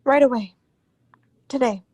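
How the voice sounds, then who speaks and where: A woman's voice: high-pitched, measured pace, very noisy. A woman playing an anxious, secretive politician's wife in a radio melodrama, speaking hesitantly and breaking off her sentences. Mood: placating. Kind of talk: old-time radio drama acting.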